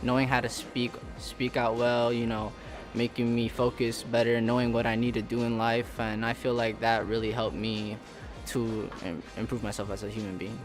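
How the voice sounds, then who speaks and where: A young college athlete speaks earnestly in a player interview, often pausing on ums as he searches for words. His voice sits at an ordinary middle pitch. A clear music bed plays loudly underneath. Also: level tone. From Peru, raised in Miami. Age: young adult.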